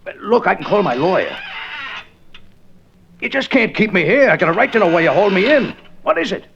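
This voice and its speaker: Defensive crook, higher voice all over the place, muffled old radio drama. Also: male voice, big pitch swings, a little fast, vocal fry, music in the background, exaggerated voice. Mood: agitated, protesting. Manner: agitated, protesting. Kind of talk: 1950s radio drama acting. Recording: muffled vintage radio broadcast.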